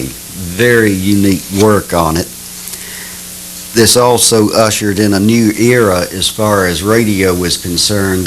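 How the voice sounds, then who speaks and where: A man's voice: deep voice, poor audio. A man giving an informal showcase commentary as a collector presenting items. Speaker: vintage radio collector presenting sets. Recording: steady hum and hiss behind voice.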